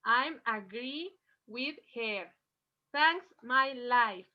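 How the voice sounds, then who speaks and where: Halting, very slow woman on a call. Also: a little high. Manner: halting, hesitant. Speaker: teacher trainee playing a student. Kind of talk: reading a beginner's text aloud. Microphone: video call. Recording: dull video call audio.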